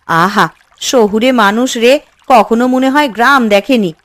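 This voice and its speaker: A woman's voice: fairly high pitch, measured pace, no echo, close to an everyday voice. A woman voicing a homely, bossy and fretful village mother in a cartoon. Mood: homely, curious. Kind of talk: cartoon character dialogue.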